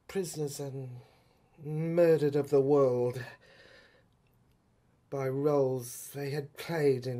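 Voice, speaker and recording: A man narrating a serial audio drama in a poetic, brooding style, with halting phrases and pauses. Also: on the high side, warm tone.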